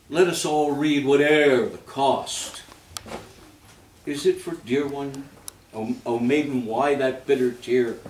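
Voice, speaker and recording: A man reciting poetry in a theatrical, measured manner, with phrases that swell and then fall away. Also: medium pitch. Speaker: reader at a readers theater event. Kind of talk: dramatic poetry recitation with spoken introduction. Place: live reading in a roomy space. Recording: roomy, distant microphone, background noise.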